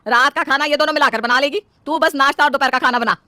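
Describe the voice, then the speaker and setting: A woman plays a bossy, stingy mother-in-law from an Indian family story. The voice is high and sharp, always demanding and finding fault. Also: quick pace, dry recording. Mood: haggling. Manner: scolding, demanding.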